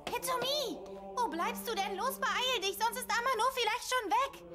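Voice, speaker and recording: A woman playing an excitable, urgent teenage schoolgirl in an audio drama. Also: extremely high pitch, normal speed. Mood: urgent, excited. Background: loud music.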